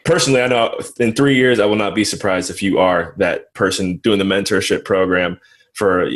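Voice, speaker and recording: A man hosting an interview, asking questions and encouraging his guest with quick agreement. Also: sits low, fairly even intonation. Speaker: interview host. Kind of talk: conversational interview.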